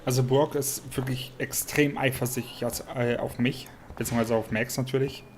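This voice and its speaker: Let's Play host, casual gameplay commentary, mid-range voice, clear background noise. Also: mid-range voice, fairly even intonation, brisk.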